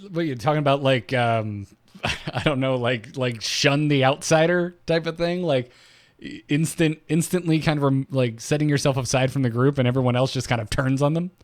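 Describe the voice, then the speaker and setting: A man's voice: mid-range voice, steady tone, moderate pace. A man co-hosting a gaming podcast, telling stories in a chatty way with many restarts. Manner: chatty storyteller, stammers and restarts. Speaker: podcast co-host. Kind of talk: casual gaming podcast talk.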